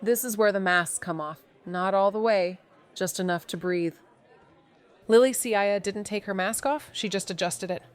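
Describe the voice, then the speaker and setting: A woman's voice: medium pitch, moderate pace, smooth, some chatter behind, lightly acted. An actress playing an observant, sardonic young woman sleuth, narrating a noir audio drama with clipped, dry wit. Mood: sardonic, measured. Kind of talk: scripted noir narration and dialogue.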